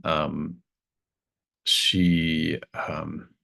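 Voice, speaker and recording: A man narrating a tabletop roleplaying game as game master, improvising in a halting, conversational way. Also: extremely low pitch, little pitch movement, gravelly, pushed and bright. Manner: halting, conversational, pieces scenes together aloud.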